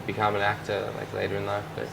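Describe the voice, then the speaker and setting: A young Australian man, a fashion model, answering interview questions in a relaxed, hesitant way with many restarts. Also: on the low side, quick pace, creaky. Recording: loud ambient noise behind.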